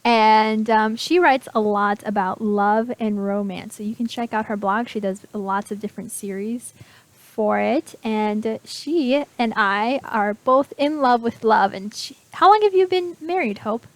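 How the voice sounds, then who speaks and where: A woman's voice: fairly high pitch, measured pace. A woman hosting an internet radio show, chatty and bubbly, laughing often as she talks. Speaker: host. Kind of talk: casual internet radio chat.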